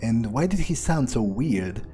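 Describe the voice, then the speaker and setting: A man giving in-character game commentary, musing aloud in a halting, sardonic and profane way. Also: mid-range voice, sing-song, dark tone, faint music behind. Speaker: gamer playing in character.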